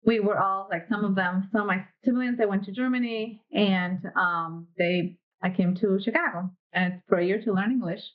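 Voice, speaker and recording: A woman in her thirties from Mexico, a podcast guest telling her story in a quick, friendly way. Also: medium pitch, steady tone, lo-fi sound. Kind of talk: casual interview chat.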